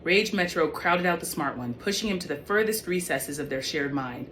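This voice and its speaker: A woman's voice: low-pitched, animated, normal speed, fairly bright tone. A woman author reading young adult fiction aloud in an audiobook style. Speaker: author reading own book. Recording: clearly noisy background.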